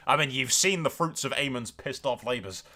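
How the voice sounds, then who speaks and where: A man's voice: medium pitch, expressive, unhurried, sharp tone. A man hosting a gaming video, giving casual, improvised commentary that drifts into dramatic storytelling, sweary and speaking straight to viewers.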